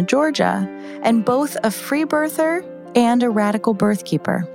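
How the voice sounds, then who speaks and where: A woman's voice: mid-range voice, a little mellow. A woman reading a scripted podcast introduction. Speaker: podcast host and announcer. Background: music under the voice.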